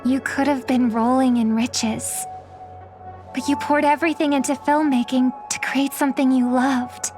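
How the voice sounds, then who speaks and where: A woman voices a resolute young game heroine. Her fairly high voice is sincere, principled and firm. Music plays clearly in the background. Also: fairly even intonation, normal speed, a little mellow, natural voice. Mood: earnest, reproachful. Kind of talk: dubbed anime game dialogue.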